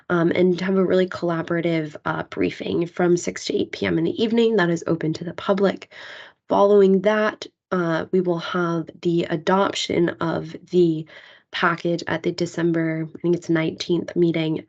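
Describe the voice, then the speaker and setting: A woman with a hoarse voice, still getting over a cold, briefs a public meeting. She speaks in a somewhat low register and walks through dates and steps in a polite, orderly way. The audio is dull and lo-fi. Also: a little mellow. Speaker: county legislative liaison.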